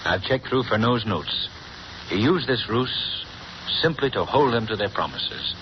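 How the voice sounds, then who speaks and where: A man playing a calm gentleman hero in a 1930s radio drama, speaking in a measured, reassuring way. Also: mid-range voice, close to an everyday voice. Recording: noisy dull 1930s radio recording.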